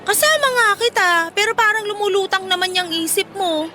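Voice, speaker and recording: Very high-pitched, blunt young woman friend. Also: a little fast. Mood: annoyed. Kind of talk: Filipino radio drama acting.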